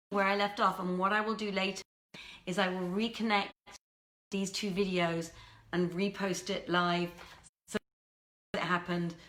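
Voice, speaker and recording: Bright-voiced woman reading in an echoey room. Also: on the low side. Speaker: storyteller, reader. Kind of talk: dramatic live reading of classic tales. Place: indoors in a theatre, live stream. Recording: roomy, echoing theatre sound.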